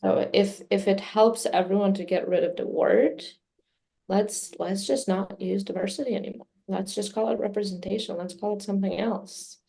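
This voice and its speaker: A woman whose first language is Serbian, answering interview questions in a measured way with many fillers. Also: medium pitch, steady tone, vocal fry, dark tone. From Midwest US. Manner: measured, many fillers, speaks of herself personally. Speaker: communications director, interview guest.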